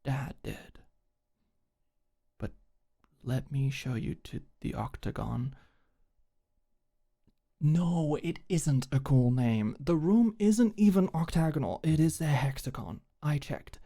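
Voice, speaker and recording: A young woman playing a tough but awkward, secretly tender delinquent girl in an intimate ASMR roleplay, speaking softly and hesitantly. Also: deep voice, animated, takes it slowly, long pauses, full character voice. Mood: exasperated.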